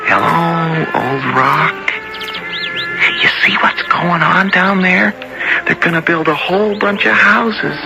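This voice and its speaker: An actor in a 1970s radio drama playing an earnest, hesitant boy who loves nature, with a halting, stammering delivery. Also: fairly high pitch, vocal fry, exaggerated voice, poor audio. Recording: old radio broadcast with music.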